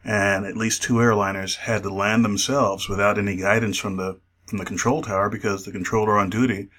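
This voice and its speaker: A man speaking as an expert guest in a radio interview, explaining in a measured, careful way. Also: on the low side, level tone, fairly bright tone.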